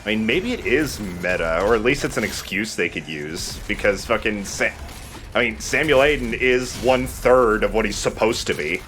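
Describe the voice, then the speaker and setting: A man's voice: lowish voice, moderate pace, a bit bright, slight background noise. A young man casually commentating on a video game with friends in a jokey manner. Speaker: let's play host and player.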